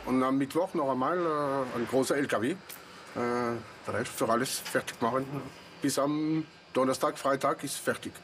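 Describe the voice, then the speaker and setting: A man's voice: mid-range voice, normal speed, music in the background. A site worker, a man, giving short reassuring instructions outdoors at a building site, heard from a distance.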